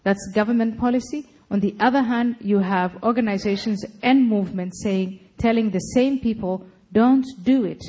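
Woman, husky voice, forceful argumentative speaker. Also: medium pitch, normal speed, few pauses. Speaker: speaker at a public talk. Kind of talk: lecture and debate answering.

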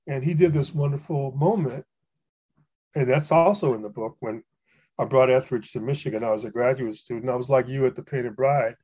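An older man from Hawaii telling stories about fellow poets in a relaxed conversation, with frequent fillers and restarts. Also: mid-range voice, a little fast, husky. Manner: rambling, many fillers and restarts, warm anecdotes. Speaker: poet and guest. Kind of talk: reflective storytelling conversation.

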